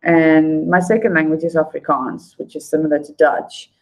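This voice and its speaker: South African woman, chatty and low-voiced. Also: fairly even intonation, normal speed, low-quality recording. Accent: South African English. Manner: rambling and conversational, with fillers and restarts; calls out viewers by name as she replies. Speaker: livestream host reading and answering viewer chat. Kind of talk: casual unscripted livestream chat.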